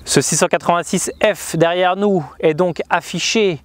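A man's voice: sits high, steady tone, moderate pace. A man in his thirties presenting a scripted motorhome review on a lapel mic, with precise, presenter-like delivery. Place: inside a motorhome, then outdoors by the roadside.

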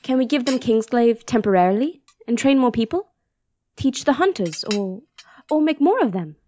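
A woman's voice: on the high side, lively intonation, moderate pace, warm tone, slight background noise, close to an everyday voice. A woman playing an earnest, thoughtful young princess in an audio drama, polite and articulate. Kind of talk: audio drama acting and first-person narration.

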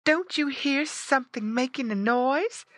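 A woman's voice: sits high, a little slow, fairly bright tone, no echo, pitched above the performer's own voice. A woman voicing an anxious, motherly pig fretting over her children, in a storybook reading. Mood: alert. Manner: fretful, exclaiming.

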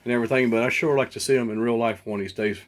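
A man's voice: medium pitch, steady tone, racing. A man off camera, bantering with his wife in a plainspoken, joking way. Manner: plainspoken, joking, double negatives. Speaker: husband off camera. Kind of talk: casual banter.

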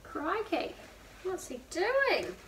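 A woman in her twenties reading a picture book aloud to young children, expressive and asking listeners questions. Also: very high voice, extremely slow. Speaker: preschool storytime reader. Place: indoor room, seated beside a whiteboard. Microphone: camera a few feet away. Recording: distant camera mic, faint room noise.

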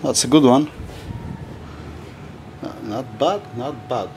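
A man's voice: mid-range voice, slow pace, darkish tone. Greek-native male mechanic, mid-range voice, talks himself through the work, faint air-con hum. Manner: thinks aloud, restarts often, non-native phrasing. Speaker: mechanic filming his engine rebuild. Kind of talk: casual workshop narration. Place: indoor engine workshop. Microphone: handheld camera.

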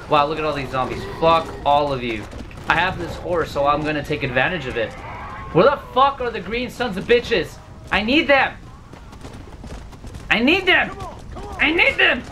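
Twenties gamer in his bedroom, bright and wildly swinging, cussing and yelling at the screen, hoarse. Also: high voice, slight background noise. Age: 20s. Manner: loud, profane, yelling at the game. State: losing his voice. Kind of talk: let's play commentary. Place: bedroom, indoors.

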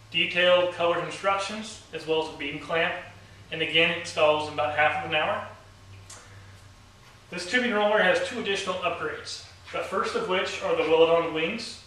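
A man's voice: fairly high pitch, pushed and bright, slight background noise. A young man with dry, wry humor, demonstrating a product to camera in a garage workshop. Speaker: company owner demonstrating a product. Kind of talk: product demo talking to camera. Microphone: camera mic from across the room. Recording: very echoey garage, heard from a distance.

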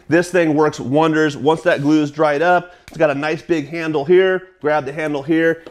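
Upbeat American man in a workshop. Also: on the high side. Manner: energetic, salesy, many "uh" fillers, gestures with products. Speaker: woodworking channel host. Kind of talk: tool recommendation vlog. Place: small wood workshop indoors.

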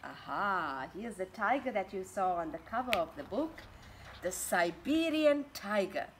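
A woman in her thirties reading a picture book aloud to children in an expressive, questioning way, outdoors. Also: mid-range voice, measured pace, a little hiss or hum. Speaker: children's story reader and teacher. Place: outdoors on a home patio.

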